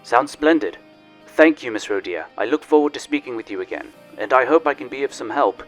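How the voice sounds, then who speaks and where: A man's voice: medium pitch, lively intonation, brisk, soft background music, natural voice. A man playing a genial, wry researcher in a sci-fi audio drama, speaking politely and a little formally, with playful touches. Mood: obliging, playful. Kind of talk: audio drama acting, conversational.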